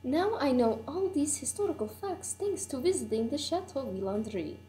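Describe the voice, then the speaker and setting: A woman's voice: high voice, expressive, normal speed, warm tone, slight background noise. A woman playing a fond tourist remembering a trip to France in a scripted puppet show. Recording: echoey room.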